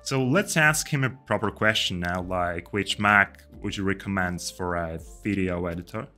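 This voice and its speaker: A young man with a fairly low but bright, forceful voice walks through a software tutorial step by step. His delivery is casual and instructional, picked up by a close desk microphone, with music underneath. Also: moderate pace. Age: young adult. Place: indoor room. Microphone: desk microphone close to the speaker.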